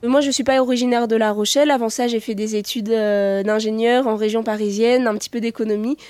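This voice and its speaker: A woman's voice: a little high, steady tone, fairly quick, darkish tone, clear, resonant tone, no room sound, clean studio sound. A young woman, a student researcher, giving spontaneous, hesitant explanatory answers in an interview.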